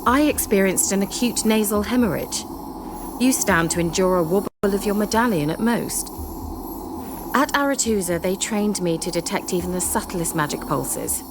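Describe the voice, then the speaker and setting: An actress playing a haughty, sly sorceress in a video game, speaking in articulate, formal, dryly condescending phrases. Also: female voice, medium pitch, moderate pace, noisy background, lightly acted. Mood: wry, instructive. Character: haughty, sly young sorceress posing as village witch. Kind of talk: dramatic video game dialogue. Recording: game music under the voice.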